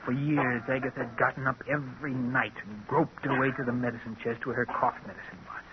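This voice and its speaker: Man playing tense, high-pitched scheming husband. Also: steady tone, brisk, close to an everyday voice. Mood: brooding, confessional. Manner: intense, brooding, dramatic. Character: henpecked, scheming middle-aged husband, quietly murderous. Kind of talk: vintage radio drama narration and dialogue. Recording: muffled, hissy vintage radio recording.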